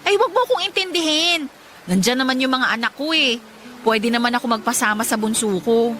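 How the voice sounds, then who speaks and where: A woman's voice: on the high side, lively intonation, fairly quick, sharp tone, slight background noise. An actress playing a caring, pushy and playful mother who is someone's best friend, in a Tagalog radio drama. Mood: affectionate.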